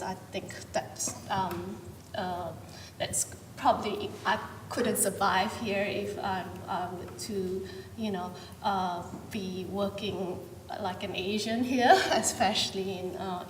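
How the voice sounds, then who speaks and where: A woman from Malaysia speaks on a panel, hesitant and full of ums and restarts. Her voice reaches a distant mic in a big hall with a long, roomy reverb. A clear layer of background noise sits under her. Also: mid-range voice. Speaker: panel participant. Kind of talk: panel discussion.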